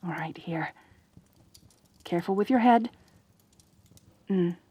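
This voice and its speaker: A woman acting a slow-spoken, lonely centaur girl. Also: fairly low pitch, warm tone, slightly rough. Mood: gentle, caring. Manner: hesitant, stammering, earnest, pleading. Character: lonely, possessive but caring centaur girl monster. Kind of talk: roleplay ASMR audio drama, intimate one-sided dialogue.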